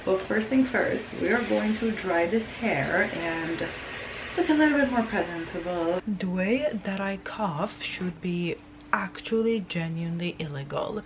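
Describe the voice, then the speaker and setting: A young woman with a raspy voice, sick with the flu, chatting casually to camera in a tired, rambling way. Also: lowish voice, unhurried, echoey room, background noise. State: sick with flu, hoarse throat. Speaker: lifestyle vlogger. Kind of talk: casual vlog chat to camera. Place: indoors at home.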